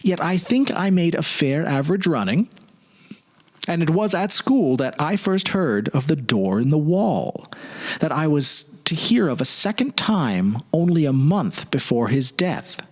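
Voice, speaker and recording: A man narrates a literary story in a dramatic style. His pitch moves widely and expressively, and he shapes his phrases deliberately with pauses for effect. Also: big pitch swings. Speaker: presenter and narrator.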